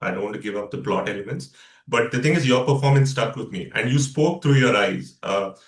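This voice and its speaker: A man's voice: medium pitch, a little fast, distant mic. A man interviewing an actor, talking casually and hesitantly with many fillers. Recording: echoey room.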